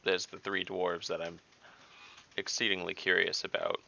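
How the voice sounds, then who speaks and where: A man playing in an online tabletop game, speaking deliberately and thinking his plans through out loud. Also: sits low, little pitch movement, vocal fry, lo-fi sound. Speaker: player.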